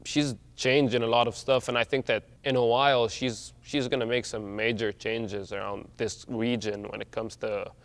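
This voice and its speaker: A man's voice: medium pitch, little pitch movement, moderate pace, few pauses, a bit bright. A young man from Egypt, reflecting on his life as a wheelchair athlete in a documentary interview. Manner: reflective, loose with "like" fillers. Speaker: wheelchair basketball player.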